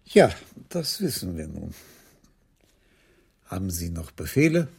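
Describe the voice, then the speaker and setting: A man's voice: deep voice, big pitch swings, a little slow, darkish tone, exaggerated voice. A male actor playing a shrewd, stern police commissioner in a radio crime play, speaking formally and pointedly with dry sarcasm. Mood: dry, guarded. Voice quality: husky, gravelly. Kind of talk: German radio crime drama acting.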